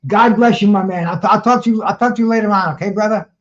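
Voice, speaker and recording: A man hosting a casual boxing chat with a notably high voice. He stumbles and repeats words as he starts sentences, and the sound is dull and lo-fi. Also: quick pace. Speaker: show host.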